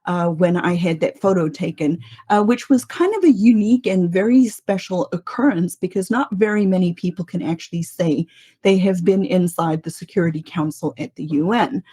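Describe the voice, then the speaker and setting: A woman's voice: lowish voice, normal speed, mellow, slightly rough. A woman in her fifties from South Africa, with a mixed international accent that keeps faint South African traces, hosting a teaching podcast in a conversational way, asking rhetorical questions and checking in with "right?".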